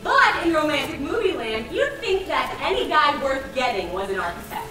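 A woman's voice: high voice, sing-song, moderate pace. A young woman playing a perky scientist who lectures on rom-com rules in an emphatic, scripted comic stage style. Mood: perky, mock-earnest. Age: young adult. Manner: theatrical, emphatic delivery. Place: stage in a large theater. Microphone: far camera. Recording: distant echoey theater with audience.

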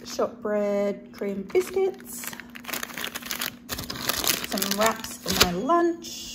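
A woman's voice: medium pitch, big pitch swings, extremely slow, heavy background noise. A woman with an Australian accent chatting casually to camera as a vlogger, unscripted and often trailing off mid-thought. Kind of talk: casual grocery haul chat to camera.

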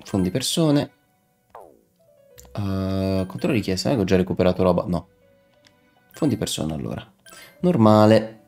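A young man with a fairly low voice streams a video game. He comments casually, half muttering to himself and half talking to his chat, with game music playing faintly behind him. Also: animated, normal speed, long pauses, darkish tone. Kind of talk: casual live game commentary, chatting with viewers.

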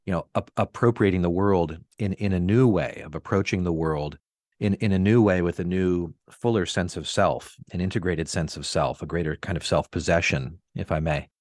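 A man's voice: low voice, normal speed, a little mellow, no echo, studio quality. A man hosting an interview, posing long, winding philosophical questions with hesitant repeats. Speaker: podcast host.

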